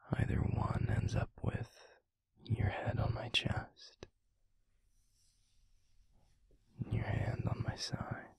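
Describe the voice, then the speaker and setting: A man playing a gentle, protective werewolf boyfriend in an intimate audio roleplay, murmuring softly and soothingly with a breathy, gravelly voice. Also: mid-range voice. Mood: tender, soothing. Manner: slow, soothing, unhurried. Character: gentle, protective adult male werewolf boyfriend, pack leader.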